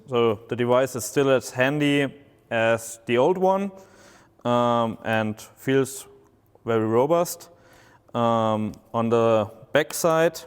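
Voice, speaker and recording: A man whose first language is German gives a halting product walkthrough, pausing often on filler sounds. His voice is smooth and resonant. It carries a long hall reverb. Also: medium pitch. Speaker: product presenter. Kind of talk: product unboxing demonstration.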